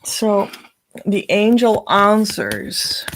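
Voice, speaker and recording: A woman with a Dutch accent, a spiritual coach talking slowly and loosely to her viewers, with fillers and laughs. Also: medium pitch, sing-song, slight background noise, rough recording. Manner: slow, meandering, with frequent laughs and fillers. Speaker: twin flame coach.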